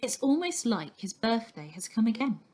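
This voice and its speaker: A woman's voice: fairly high pitch, big pitch swings, normal speed, darkish tone. A woman reading a children's novel aloud as scripted narration. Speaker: story reader.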